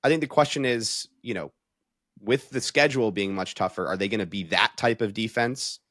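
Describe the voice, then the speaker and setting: A man's voice: fairly low pitch, normal speed, a bit bright, no echo, studio quality. A man talking through sports analysis in a conversational, casual way, thinking aloud as a panel analyst.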